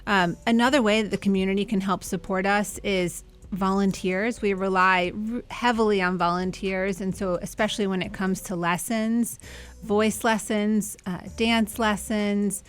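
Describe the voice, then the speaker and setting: Woman explaining things clearly. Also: medium pitch, steady tone, moderate pace. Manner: clear, friendly, explains step by step. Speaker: executive director of a nonprofit. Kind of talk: interview answers.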